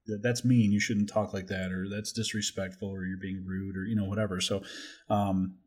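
A man in his forties with a lowish, raspy voice hosts a casual podcast. He talks in a loose, rambling way, with joking asides and blunt, crude wording. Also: flat delivery, moderate pace. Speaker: podcast host.

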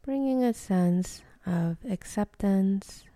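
A woman leading a guided meditation in a soft, breathy, half-whispered voice, calm and gentle, with long pauses between phrases. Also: mid-range voice, no room sound.

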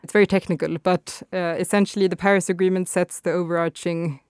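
A woman guest explaining climate policy in an interview, measured with some hesitations. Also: lowish voice, level tone, darkish tone, clean tone, no room sound, studio quality. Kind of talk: expert interview answers.

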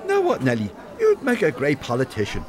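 A sly Dublin charmer, matey and coaxing. His voice is fairly high and bouncy, set against a busy crowd in a radio play. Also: huge pitch swings, brisk. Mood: jovial, cajoling. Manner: matey, cajoling. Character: easygoing, conniving Dublin lad, a local charmer. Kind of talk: radio drama acting.

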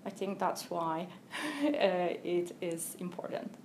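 A woman from Turkey, a researcher guest answering interview questions in a careful, explanatory way with thoughtful pauses. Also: on the low side, lively intonation, very noisy.

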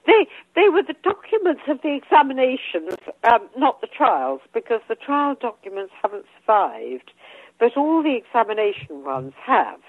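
An older English woman speaks over a tinny phone line, her voice husky and going hoarse. She talks in a lively, rising and falling way, pausing on ums and restarting her sentences. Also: on the high side, no room sound. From England. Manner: hesitant, many ums and restarts. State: losing her voice. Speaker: guest author. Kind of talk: casual interview talk.

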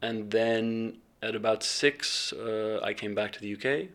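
A man's voice: lowish voice, measured pace, a bit bright. Reflective, hesitant man in interview. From England, raised partly in Bahrain. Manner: hesitant, reflective, many restarts and ums. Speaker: filmmaker and fellowship alumnus. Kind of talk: relaxed interview conversation.

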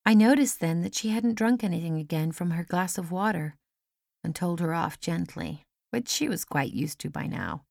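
A woman's voice: on the low side, expressive, moderate pace, gravelly, darkish tone, no echo, clean studio sound. A woman reading a first-person story as scripted audiobook narration. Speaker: audiobook reader. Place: dry studio.

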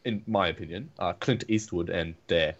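A man's voice: low-pitched, normal speed, lo-fi sound. A man co-hosting a casual podcast chat, giving opinions with lots of "like" and "I mean". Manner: opinionated, filler-heavy. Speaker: podcast co-host.

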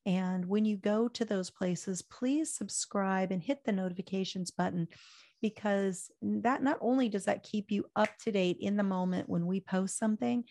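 An older woman hosting a political talk radio show, hoarse and speaking with fiery, preachy conviction before a chatty sign-off. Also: medium pitch, darkish tone.

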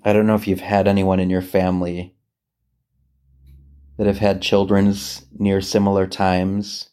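A man with a lowish, soft-edged and relaxed voice leads a guided meditation as a priest would. He speaks gently and takes his time, leaving long pauses between phrases as he reads and reflects on scripture. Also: clear, resonant tone.

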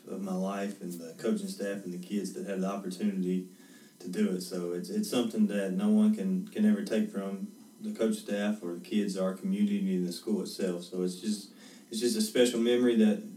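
A man in his twenties, a head baseball coach, answering interview questions in a steady, earnest way, repeating words as he thinks. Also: low-pitched, brisk, roomy sound, far from the mic, poor audio. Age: 20s.

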